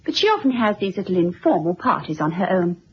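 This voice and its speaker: An actress playing a lively, worldly young elder sister in a radio drama, with a breezy, gushing, emphatic manner. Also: female voice, fairly low pitch, quick pace, a little hiss or hum. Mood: excited, confiding. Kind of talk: period radio drama acting. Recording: dull, muffled old radio recording.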